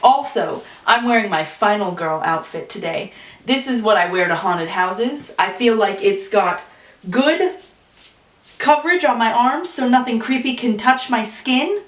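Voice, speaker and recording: A woman's voice: fairly high pitch, unhurried, recorded from a distance. Playful chatty woman in an echoey room. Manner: playful, rambling, animated asides. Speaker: vlogger telling an improvised story. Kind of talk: casual chatty to-camera talk.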